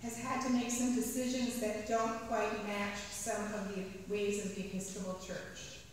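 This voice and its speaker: A middle-aged woman priest reading a sermon from the pulpit, measured, slowing down to stress key phrases. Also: mid-range voice, level tone, slight background noise. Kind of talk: read sermon. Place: large church sanctuary. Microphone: pulpit mic at a distance. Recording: echoey church hall from far off.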